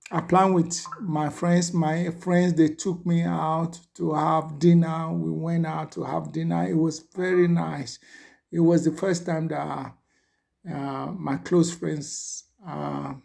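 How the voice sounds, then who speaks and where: A man's voice: fairly high pitch, vocal fry, dark tone. A middle-aged man from Nigeria with a Nigerian accent, chatting casually to his viewers as he tells a story and often checks in with "you understand?". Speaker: vlogger.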